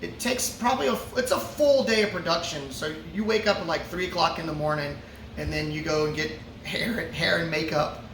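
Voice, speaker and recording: A man, a musician, answering fan questions in a relaxed, joking way with lots of fillers. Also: on the high side. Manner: laid-back, joking, many fillers. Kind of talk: casual Q&A chat. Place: brewery before a show. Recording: roomy echo, from a distance, ambient noise.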